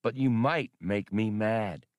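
A man's voice: fairly low pitch, takes it slowly, a little mellow, dry recording. A man reading an audiobook, voicing a cocky, wry star pilot smuggler with a dry, casual, needling manner. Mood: dry taunting.

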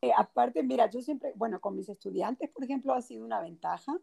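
A woman's voice: a little high, brisk, gravelly, husky. A woman in her forties from Uruguay, speaking as an interview guest, telling stories with frequent fillers and restarts. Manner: warm storytelling with many fillers and restarts. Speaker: guest, children's book author and teacher. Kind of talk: casual interview conversation.

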